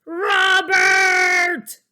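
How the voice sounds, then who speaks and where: A stern monster of a schoolteacher from a children's storybook, acted out by a woman reading aloud. She speaks in big, drawn-out exclamations, often raised high and loud. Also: level tone, exaggerated voice. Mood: furious shout.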